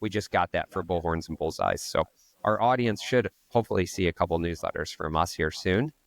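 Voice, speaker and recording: A man with a low, deep voice talks through marketing steps one at a time on a casual podcast. He thinks aloud as he goes. The sound is dry and close, with no room around it. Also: a little fast, gravelly, no echo. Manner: explains step by step, thinking aloud. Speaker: podcast co-host.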